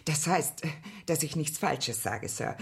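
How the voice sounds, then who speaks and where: A talkative working-class mother in a radio play. Her husky, slightly low voice swings up and down as she rambles on confidingly, always deferential to the gentleman listening. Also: expressive, slow pace, exaggerated voice. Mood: gossipy, confiding. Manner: chatty, rambling, deferential, quoting herself and others. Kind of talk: German radio play acting.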